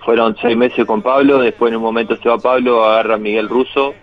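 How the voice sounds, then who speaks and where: A man's voice: mid-range voice, flat delivery, fairly quick. Easygoing man, dull lo-fi sound. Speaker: guest, professional footballer. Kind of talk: casual interview answers.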